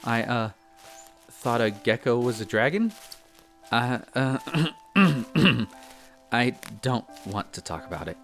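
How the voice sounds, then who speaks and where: A man's voice: mid-range voice, big pitch swings, slow, long pauses, a bit bright, faint music behind, exaggerated voice. A man playing an earnest, bookish young elf apprentice in a roleplay audio drama, chatty and a little hesitant, easily flustered. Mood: flustered, defensive. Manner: hesitant, chatty, explains eagerly. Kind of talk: scripted roleplay audio drama addressed to the listener.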